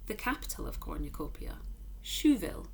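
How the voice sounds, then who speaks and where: A woman reading a children's story aloud like a teacher, with emphatic, expressive narration. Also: fairly low pitch, normal speed, vocal fry, slight background noise.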